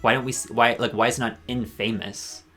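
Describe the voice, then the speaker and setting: A man giving a rambling, sarcastic video commentary with deadpan asides. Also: medium pitch, brisk, soft background music. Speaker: commentary YouTuber. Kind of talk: ranking commentary.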